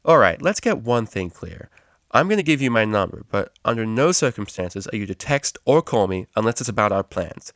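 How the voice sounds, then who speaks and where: A man's voice: fairly low pitch, sing-song, moderate pace, a bit bright, no room sound, studio quality. A man voicing a brash, sarcastic, short-tempered young female unicorn DJ in a storybook reading, with slangy, confrontational delivery. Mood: curt.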